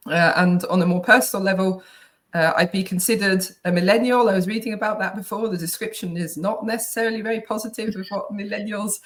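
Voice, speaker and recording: A woman's voice: mid-range voice, steady tone, fast. A woman in her thirties co-hosting a live online work talk over a video call, casual and chatty with frequent tag questions. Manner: casual, uses tag "right?" often. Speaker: head of client accounts, co-host. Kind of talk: webinar conversation.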